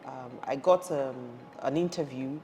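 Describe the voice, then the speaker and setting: A woman in her thirties with a low voice, from Ghana and raised in Nigeria, recalling her path into the energy industry. She talks in a lively, conversational way, restarting her sentences often. Her voice rings with a long reverb over a faint hiss of noise. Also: a little slow. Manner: animated storytelling with frequent restarts and fillers. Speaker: guest, energy industry professional. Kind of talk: conversational interview.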